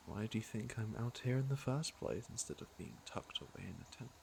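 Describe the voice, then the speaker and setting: A man's voice: lowish voice, very animated, a little fast, darkish tone, slight background noise, poor audio. A man playing a teasing, flirtatious fantasy villain with a caring side, in an intimate ASMR roleplay. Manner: smooth-talking, teasing, intimate. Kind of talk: ASMR roleplay acting, intimate second-person address.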